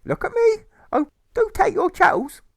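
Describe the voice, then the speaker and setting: A man's voice: very high voice, animated, normal speed, gravelly, fairly bright tone, dry recording, studio quality, voice pushed up, full character voice. A man voicing an overwrought, nagging mother, histrionic and repeating phrases in wails, in a storybook reading. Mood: indignant, hysterical. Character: shrill, overwrought, nagging mother, fussing and guilt-tripping. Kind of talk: theatrical rhyming audiobook.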